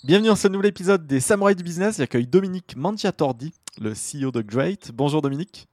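A man's voice: a little high, expressive, fast, a little mellow, no echo. A man hosting a business podcast with an informal, conversational manner. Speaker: podcast host. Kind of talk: scripted intro and conversational interview.

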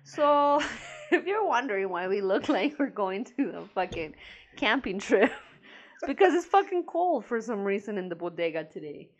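A woman's voice: mid-range voice, big pitch swings, normal speed, dull audio. A young woman with a Mexican accent co-hosting a podcast in casual chat, laughing often and swearing freely. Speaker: podcast co-host.

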